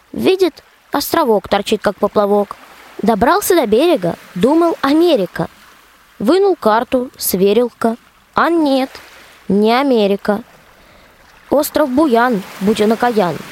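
A woman as a highish-voiced folk-tale storyteller. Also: slight background noise. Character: folksy storyteller of a comic fairy tale. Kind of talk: rhymed scripted narration, radio play.

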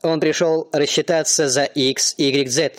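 A man's voice: a little high, fairly even intonation, unhurried, no echo, clean studio sound, lightly acted. A man playing a timid office clerk. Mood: deferential. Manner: hesitant and polite, hedging his words. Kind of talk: amateur radio play acting.

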